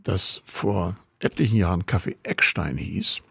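A low, gravelly male voice, somewhat husky, talks casually as a radio host, wandering from one thought to the next before introducing a song. He grew up in Rostock. The sound is close and dry. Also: animated, a little slow, sharp tone, no echo. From Rostock, Germany. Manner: chatty, meandering, introducing music.

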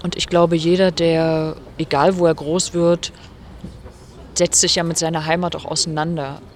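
A woman's voice: low voice, flat delivery, moderate pace, darkish tone. A woman from Rostock, an author giving earnest, explanatory answers in an interview, recorded at a venue before her reading. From Germany, Rostock.

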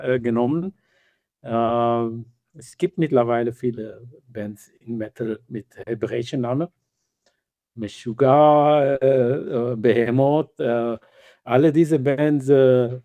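A man with an Israeli accent and Hebrew as his first language, answering interview questions about his band in halting, filler-heavy speech with some non-native grammar. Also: mid-range voice, mellow, no echo. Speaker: band member.

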